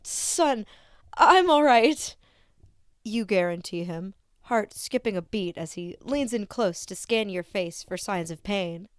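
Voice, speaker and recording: A woman reading fan fiction aloud as an expressive narrator. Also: on the low side, unhurried, few pauses, clean tone, dry recording, clean studio sound.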